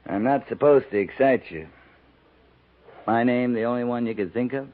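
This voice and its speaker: An actor playing a weary, laconic frontier cavalry captain with dry humor in a 1950s radio western. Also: male voice, mid-range voice, normal speed, close to an everyday voice. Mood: amused, wry. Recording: tinny, hissy 1950s radio recording.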